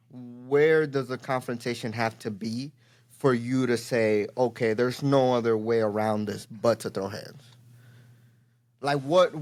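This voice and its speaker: A man's voice: medium pitch, a little mellow. A young man from Miami co-hosting a podcast, talking loosely and profanely, joking and asking probing questions. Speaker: podcast co-host. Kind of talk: casual podcast chat.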